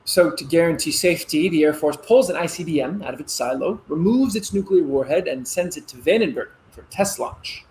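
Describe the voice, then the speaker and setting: Casting: actor as eager young officer son, higher-set male voice, earnest and conciliatory, stage-play realism, slight noise behind. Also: animated, a little fast, hardly any pauses, darkish tone, close to an everyday voice, low-quality recording. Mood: eager, proud. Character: eager adult son, young Air Force missile officer, placating. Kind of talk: naturalistic stage-play dialogue.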